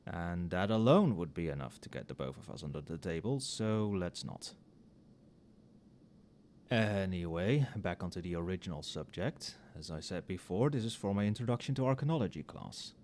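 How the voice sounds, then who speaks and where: A man playing an overworked magic professor in a casual, soft-spoken ASMR roleplay, muttering with dry sarcasm. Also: deep voice, expressive, normal speed, a little hiss or hum. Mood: friendly, confiding. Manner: casual, muttering, dryly sardonic. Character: wry, overworked male magic professor grading papers late at night.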